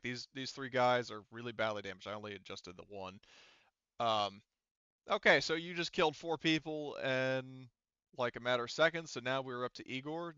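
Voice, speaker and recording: Male game master, crisp and forward, dry close sound, improvising casually in halting bursts. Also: medium pitch, bright, forceful tone, no room sound, dull audio. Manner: halting, thinking aloud, casual swearing. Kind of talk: running a tabletop roleplaying game, casual improvised narration.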